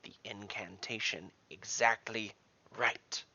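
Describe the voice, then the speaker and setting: A man's voice: fairly low pitch, fairly even intonation, slow pace, vocal fry, bright, forceful tone, dry recording, dull audio, exaggerated voice. A man voicing a wizened, stern old wizard librarian who speaks insistently and obliviously in a fantasy story.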